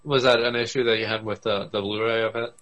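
A man's voice: fairly low pitch, little pitch movement, fast talker, a bit bright. A man co-hosting a podcast in casual chat about anime, laid-back and a little hesitant as he asks and answers. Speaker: podcast co-host.

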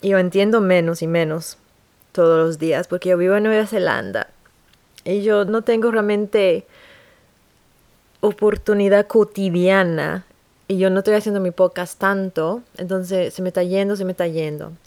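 A woman talking on her podcast in an unscripted monologue, halting, with frequent restarts and pauses. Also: fairly low pitch, a little mellow, dry recording.

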